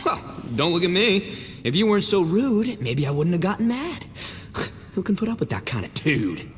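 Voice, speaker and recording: An actor playing a cocky, hot-headed, goofy young gang boss in a video game, with a boisterous swagger. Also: male voice, sits high, very animated, moderate pace, a little hiss or hum. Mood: indignant. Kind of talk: animated video game voice acting. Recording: long reverb with game music behind.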